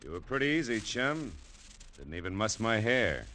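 A man's voice: fairly low pitch, sing-song, normal speed, bright, forceful tone, a little hiss or hum. An actor playing a cocky, taunting male thug in a 1950s radio drama. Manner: smug and taunting. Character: cocky male thug who mocks the hero. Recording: old radio broadcast.

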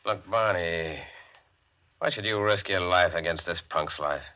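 A muffled, slightly noisy old radio recording of a loyal, wary henchman. The man's voice is low but sharp and forceful, in 1940s gangster drama style. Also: unhurried, heavily acted. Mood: protesting.

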